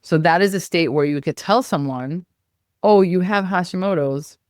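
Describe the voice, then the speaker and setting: A woman's voice: lowish voice, normal speed, darkish tone. Woman hosting a podcast, casual, chimes in and echoes the guest, explains stuff to listeners, really dry audio. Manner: interjects agreement and echoes the guest. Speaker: podcast host, nurse practitioner. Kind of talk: casual interview with explanations for the audience.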